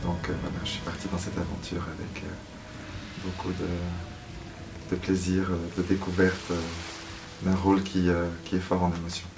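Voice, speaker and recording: A man's voice: deep voice, monotone, normal speed, distant mic, background noise. A man giving reflective interview answers as a guest, hesitant and searching for words. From Switzerland (Lausanne), born in Paris. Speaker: musical theatre actor, interview guest.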